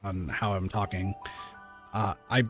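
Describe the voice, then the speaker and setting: A man's voice: low voice, level tone, unhurried, soft background music. A man with a soothing voice, casually chatting with viewers while streaming a game and rambling with lots of fillers and restarts, now and then swearing mildly. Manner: mild swearing.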